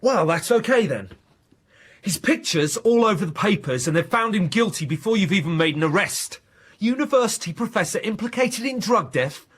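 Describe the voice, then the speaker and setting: Earnest, husky male research scientist. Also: high-pitched, lively intonation, moderate pace, a bit bright, full character voice. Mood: indignant. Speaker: actor playing a researcher. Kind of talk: naturalistic radio drama acting.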